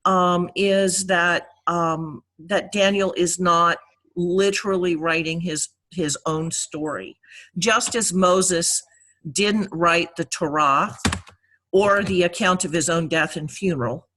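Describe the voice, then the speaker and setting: A woman teaching a class informally, explaining deliberately and pausing to search for words. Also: lowish voice, steady tone, a bit bright. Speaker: priest teaching a Bible class.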